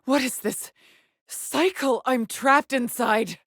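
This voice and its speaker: An actress playing a haunted, weary woman astronaut stranded alone on an alien world in a video game, with a breathy voice and a grave, brooding delivery. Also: high-pitched, slow pace, a bit bright, no echo, studio quality, exaggerated voice. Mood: anguished. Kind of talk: dramatic game voice lines, monologue logs.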